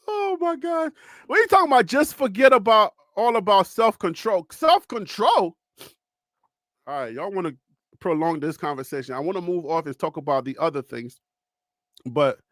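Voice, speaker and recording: A man from Liberia, hosting a live call-in show in a preachy, animated way and reading the chat. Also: high voice, no room sound, clean studio sound. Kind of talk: casual livestream talk.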